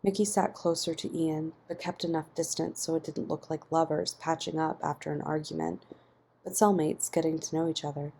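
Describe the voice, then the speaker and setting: A woman's voice: deep voice, fairly even intonation, normal speed, warm tone, breathy edge. A woman reading a fan fiction story aloud as scripted narration.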